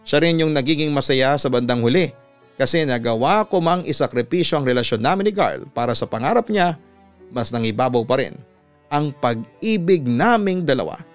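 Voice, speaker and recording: A man with a Filipino accent, a radio announcer reading a scripted story in a measured, earnest way. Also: medium pitch. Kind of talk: scripted narration of a listener's letter. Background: music under the voice.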